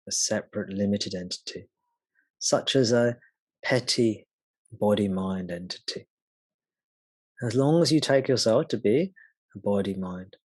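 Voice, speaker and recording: A man guiding a meditation as a spiritual teacher, speaking gently and unhurriedly with long pauses. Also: on the low side, slightly rough, no echo, rough recording. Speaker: spiritual teacher leading satsang. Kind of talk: slow guided meditation.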